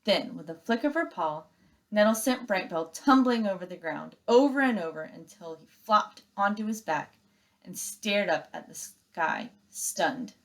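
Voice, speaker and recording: A woman's voice: mid-range voice, expressive, unhurried. A woman teacher reading a children's story aloud expressively and giving instructions to students.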